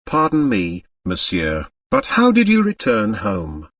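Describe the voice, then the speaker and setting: Courteous examining magistrate voiced by a man, French, formal and tactful, expressive intonation, dry recording. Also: lowish voice, unhurried, no echo, recorded from a distance, exaggerated voice. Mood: probing, courteous. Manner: polite, hesitant, formal. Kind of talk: audiobook character dialogue.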